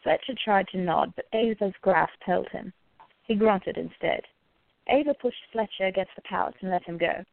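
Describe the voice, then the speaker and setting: Woman narrator, scripted story, gravelly creak, dry close sound, narrow phone-like band. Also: mid-range voice, moderate pace, no echo.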